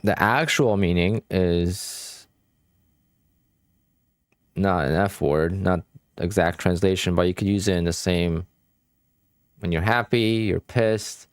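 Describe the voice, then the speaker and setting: A man's voice: deep voice, slow, long pauses, smooth, no echo, clean studio sound. Polish-accented man chatting on a live stream. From Poland. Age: 30s. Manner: relaxed, talks to chat in short bursts. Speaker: day trading streamer. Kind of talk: casual live stream chat.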